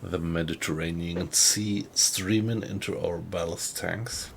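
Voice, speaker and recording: A man with a German accent, chatting with viewers while he plays a submarine game, in a slow, hesitant way. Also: low voice, steady tone, creaky, a little hiss or hum. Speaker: game streamer. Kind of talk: casual live-stream commentary. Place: home room at night.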